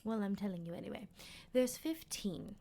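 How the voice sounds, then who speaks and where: A woman playing a teasing, sardonic female vampire captor in an ASMR roleplay, speaking in an unhurried, breathy half-whisper. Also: mid-range voice, lively intonation, dark tone. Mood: wry, toying. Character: playful, menacing female vampire captor, decades old.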